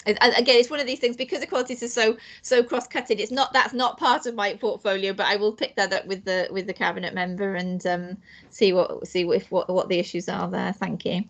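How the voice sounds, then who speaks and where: A woman reports to a council panel over a video call. She restarts sentences often and pads them with fillers. Her pitch sits in the middle range. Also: steady tone, fairly quick. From Wales. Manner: hesitant, many restarts and fillers. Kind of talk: online council meeting update.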